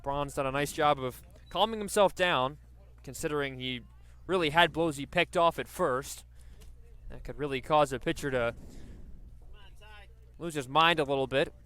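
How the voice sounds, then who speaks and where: A man's voice: fairly high pitch, moderate pace, sharp tone, clean tone. A man doing live radio play-by-play commentary of a baseball game.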